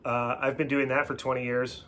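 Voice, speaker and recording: A man in his forties talks easily as a channel co-host, his voice sitting at an ordinary middle pitch. He wanders through explanations, stopping and restarting as he goes. A faint hiss of background noise runs under the recording. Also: level tone, brisk, a bit bright. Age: 40s. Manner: rambling, self-interrupting explanations. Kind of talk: casual chat.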